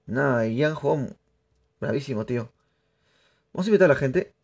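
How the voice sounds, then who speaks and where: A young man from Peru, chatting excitably to viewers through live gameplay commentary. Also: medium pitch, moderate pace, creaky, breathy edge. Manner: chatty, excitable, laughs often, addresses viewers. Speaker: streamer.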